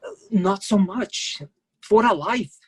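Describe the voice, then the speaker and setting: Young ship worker, earnest, secretive, halting simple phrasing, mid-range pitch, stage drama. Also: female voice, breathy edge. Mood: pleading. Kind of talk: dramatic staged play reading.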